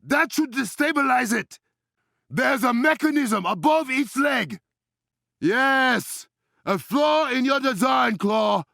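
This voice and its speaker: An actor playing a proud, resolute warrior king in a video game, with an African accent and formal, measured, regal diction. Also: extremely high pitch, expressive, vocal fry, pushed and bright, no room sound, shouting, studio quality, heavily acted. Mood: shouting, fierce. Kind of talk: dramatic video game voice acting.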